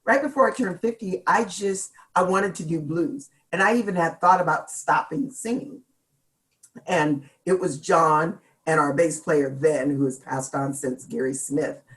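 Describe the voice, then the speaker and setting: A middle-aged woman from Seattle with a deep, gravelly voice, chatting as a singer in an interview and laughing often. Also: measured pace. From Seattle, United States. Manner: chatty, laughs often, jokes about herself. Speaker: guest singer. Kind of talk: casual interview chat.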